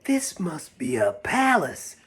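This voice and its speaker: A man voicing an innocent, wistful toy bear in a storybook, with breathy wonder. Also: fairly high pitch, huge pitch swings, slow, a bit bright, pitched above the performer's own voice, full character voice. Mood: hushed wonder.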